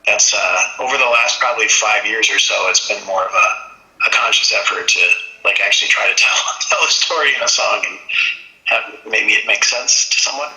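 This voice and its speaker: Male songwriter guest from Minnesota, mid pitch, sharp bright tone, relaxed and reflective; roomy echo. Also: a little fast. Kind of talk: casual interview. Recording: echoey room.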